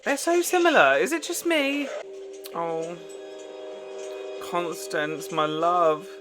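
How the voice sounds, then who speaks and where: A man's voice: high voice, lively intonation, slow, music in the background. A young man giving casual, enthusiastic reaction commentary as host, recorded in a small bedroom. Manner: enthusiastic, gushing. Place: small bedroom, windows shut.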